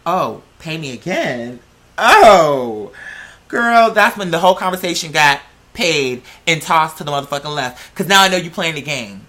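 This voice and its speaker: A man's voice: sits high, pushed and bright. A man telling personal stories in a casual, slangy rant, with a sassy, confrontational and emphatic manner.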